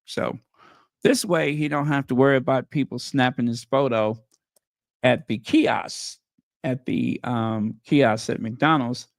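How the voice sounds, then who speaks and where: Slow gravelly man going hoarse. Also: medium pitch, no echo, studio quality. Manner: sarcastic, mocking asides. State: losing his voice. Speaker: livestream host. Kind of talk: casual livestream chat.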